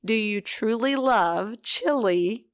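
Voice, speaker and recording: A woman co-hosting a book club chat, her voice hoarse and gravelly, laughing easily as she asks questions. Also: a little high, slow. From Kansas. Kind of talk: casual chat.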